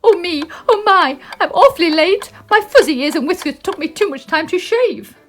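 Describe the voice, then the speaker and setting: British lady doing a panicky fussy boy rabbit from a storybook, really high and flustered. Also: lively intonation, a bit bright. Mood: flustered, bossy. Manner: hurried, flustered exclamations.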